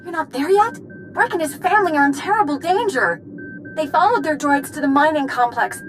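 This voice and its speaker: A woman's voice: high-pitched, lively intonation, fairly quick, faint music behind. A woman playing an anxious, earnest young woman in a video game, pleading with urgency. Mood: anxious, urgent. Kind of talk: dramatic video game acting.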